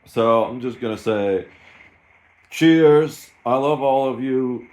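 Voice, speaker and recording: A man's voice: medium pitch, takes it slowly, darkish tone. A man in his thirties talking in a rambling, self-mocking way in a casual solo vlog. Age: 30s. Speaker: streamer.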